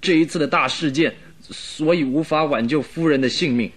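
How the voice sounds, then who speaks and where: An actor playing a timid middle-aged butler in a radio drama, hesitant and stammering with an apologetic manner. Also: male voice, fairly high pitch, moderate pace, a bit bright. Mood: bitter, agitated.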